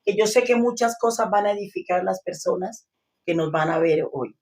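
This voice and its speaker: Woman, guest pastor, earnest, hesitant fillers, mid pitch. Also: normal speed, a little mellow. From Colombia. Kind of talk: interview testimony.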